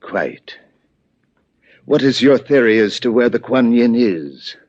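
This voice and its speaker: A man's voice: medium pitch, lively intonation, takes it slowly, a little mellow. An actor playing an urbane, sly, insinuating male dealer in a 1950s radio drama, speaking with precise formality. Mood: sly, pressing. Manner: precise, formal, pompous politeness. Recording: muffled old radio recording.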